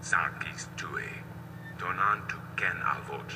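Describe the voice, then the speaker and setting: An actor playing a mysterious priest who talks in an unknown tongue, often in a low whisper. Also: male voice, takes it slowly, pushed and bright, far from the mic, full character voice. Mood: cryptic. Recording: game audio from off-mic, noisy.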